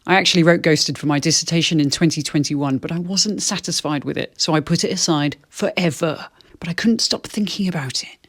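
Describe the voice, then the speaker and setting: A woman's voice: low-pitched, brisk, breathy edge. A woman presenting a wry video essay to camera, with dry, self-deprecating humour. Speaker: video host, fiction writer.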